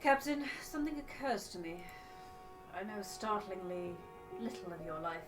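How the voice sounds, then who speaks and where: A woman performs a formal video game crew member. The character is aloof and precise, all stiff politeness with no curiosity. Her medium-pitched voice sits over clear music. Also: expressive, moderate pace, natural voice, low-quality recording. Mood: detached, formal.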